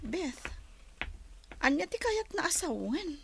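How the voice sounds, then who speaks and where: A woman acting a soft-hearted office worker getting over a breakup in an Ilocano radio comedy drama, with a Filipino Ilocano accent. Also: sits high, very animated, takes it slowly, creaky, full character voice. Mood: hesitant. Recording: loud hum and noise behind.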